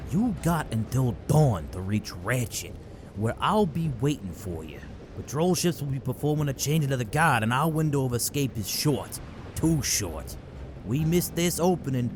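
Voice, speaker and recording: A man playing a gruff, wary smuggler ship pilot with clipped seafaring talk in a fantasy audio drama. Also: mid-range voice, sing-song, normal speed, close to an everyday voice. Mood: urgent, stern. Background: music under the voice.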